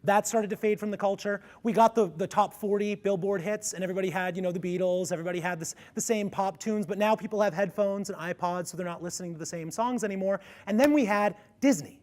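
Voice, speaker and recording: A man's voice: high-pitched, fast, hardly any pauses. Ringy-voiced man leading a workshop. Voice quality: ringy, resonant speaking voice. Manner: energetic, coaching the audience through vocal exercises. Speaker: music education workshop presenter. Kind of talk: conference workshop talk. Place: conference room with a live audience.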